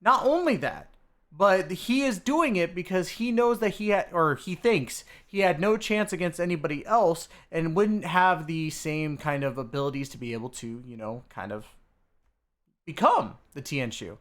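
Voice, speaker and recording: A man's voice: fairly high pitch, expressive, normal speed, a bit bright. A man hosting a video, giving a casual, informal game story recap straight to his viewers. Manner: informal, addresses viewers as dudes and guys. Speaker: video host.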